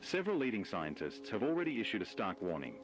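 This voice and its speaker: Bir erkeğin canlandırdığı çok kalın sesli, ciddi haber spikeri. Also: male voice, fairly low pitch, huge pitch swings, fast talker, low-quality recording. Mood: grave, urgent. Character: grave male news anchor reporting a catastrophe. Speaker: news anchor. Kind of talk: scripted news bulletin. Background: music.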